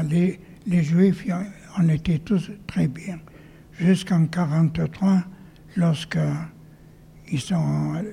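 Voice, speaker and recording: An older man from northern France telling his life story to an audience, halting and often dropping to a whisper. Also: fairly high pitch, gravelly, mellow, husky. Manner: halting, with many restarts and whispered stretches. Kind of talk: testimony to an audience. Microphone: handheld mic.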